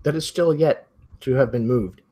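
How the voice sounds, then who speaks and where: A man acting as game master, improvising narration and giving players instructions in measured short phrases with pauses. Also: medium pitch, mellow, breathy edge.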